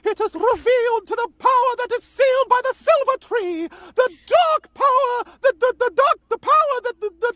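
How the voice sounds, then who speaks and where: A woman playing an ancient, excitable fairy monarch with grandiose, theatrical declaiming, as in a live fantasy table read. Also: very high voice, dry recording. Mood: flustered. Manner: grandiose, slow, dramatic declaiming.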